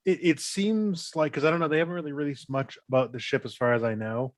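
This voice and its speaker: A man's voice: on the high side, steady tone, fast talker, no echo, clean studio sound. A man chatting informally as a panelist in a fan podcast discussion.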